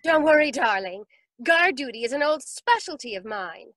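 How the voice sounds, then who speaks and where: A woman's voice: sits high, expressive, normal speed, fairly bright tone, no echo. A woman playing a cool, sly young niece in 1940s radio drama, taunting with sugary sarcasm.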